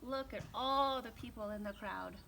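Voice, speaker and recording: A woman with a fairly high, very bright voice reads a children's storybook aloud. She is expressive, leaning on key words and softening the ends of phrases. The recording is made outdoors in a backyard, with faint noise behind her. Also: normal speed, creaky, low-quality recording. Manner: animated, dramatic emphasis with hushed phrase endings. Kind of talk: expressive picture-book read-aloud for children.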